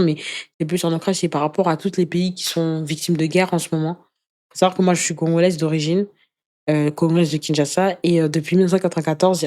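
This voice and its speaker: A woman giving personal testimony as an interview guest in casual conversation, earnest and halting, often repeating words as she searches for the next. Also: low voice, warm tone. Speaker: interview guest, anti-racism activist.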